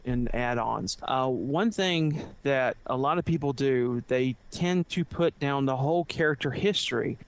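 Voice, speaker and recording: A man's voice: a little high, no room sound. A man on a podcast panel, talking casually as he explains his opinion.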